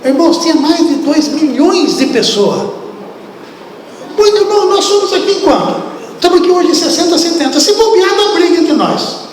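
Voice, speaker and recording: High-voiced Brazilian preacher in an echoey hall. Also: male voice, normal speed, a little hiss or hum. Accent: Brazilian Portuguese. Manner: animated, acts out biblical speech, asks the congregation questions. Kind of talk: sermon. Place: church hall. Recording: echoey hall, distant room.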